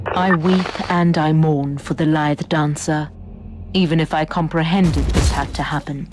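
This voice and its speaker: A woman's voice: low-pitched, steady tone, noisy background. A woman playing a cryptic, sorrowful alien seer in a video game, speaking in solemn, ceremonial poetry. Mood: mournful, cryptic. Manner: slow, prophetic, ceremonial. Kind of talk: video game cutscene acting.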